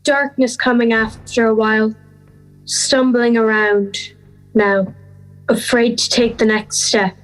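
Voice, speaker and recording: An introspective fairy-tale schoolgirl, lost and afraid, played by a young woman. She delivers an audio drama monologue slowly, in halting short phrases. Her voice is a little high, with music softly behind it. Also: steady tone, low-quality recording. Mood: despairing. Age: young adult.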